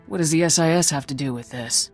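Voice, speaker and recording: A woman's voice: low voice, fast talker, soft background music. An actress playing a confident female military commander in a video game, direct and assured. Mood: firm.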